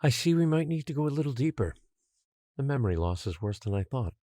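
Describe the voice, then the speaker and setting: An actor playing a patient, controlling guardian who secretly rules an island, speaking in a measured, quietly menacing way in an audio drama. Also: male voice, medium pitch, very animated, dry recording, clean studio sound, natural voice. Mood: calm, controlling.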